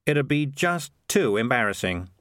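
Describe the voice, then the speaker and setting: A man's voice: medium pitch, very animated, unhurried, a bit bright, no room sound, studio quality. A man voicing Morris, a cynical streetwise male cat who talks in a wry, grumbling, sarcastic way.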